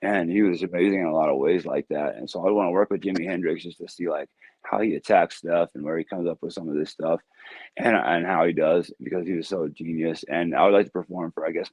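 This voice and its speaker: A man with a deep voice, a music artist answering interview questions in a loose, easygoing way and laughing often. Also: fairly even intonation, fairly quick, a little mellow, slightly rough, no room sound.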